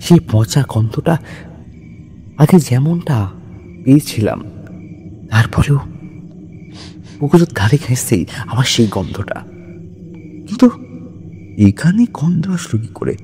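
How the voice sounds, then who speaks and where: A man narrates a scary tale with slow, suspenseful delivery and wide swings in pitch. His voice has a dark, mellow tone, and music plays behind his deliberate, pause-filled phrases. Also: very animated. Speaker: horror story narrator. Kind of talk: dramatic scripted horror storytelling in Bengali.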